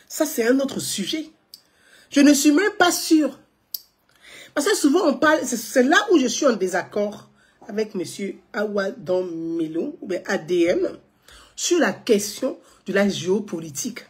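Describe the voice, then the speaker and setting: Husky woman, emphatic and repetitive. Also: a little high, lively intonation, measured pace, a little mellow. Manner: emphatic, repeats points, rhetorical questions. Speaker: political commentator. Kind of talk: live video commentary to viewers.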